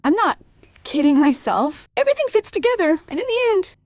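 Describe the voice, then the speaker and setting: An actress in a radio play voicing an anguished, fearful mother, speaking intimately and dramatically in a breathy, husky voice. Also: extremely high pitch, big pitch swings, brisk, no echo. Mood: anguished, urgent. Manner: dramatic, swinging from hushed to urgent. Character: anguished, fearful wife and mother, intense and brooding.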